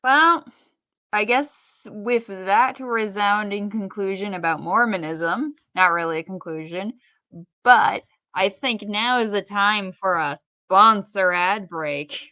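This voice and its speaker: A woman's voice: medium pitch, slow, bright, forceful tone, dry recording, muffled recording. Woman, mid-range voice, informal explainer, casual podcast chat, no room sound. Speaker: podcast co-host.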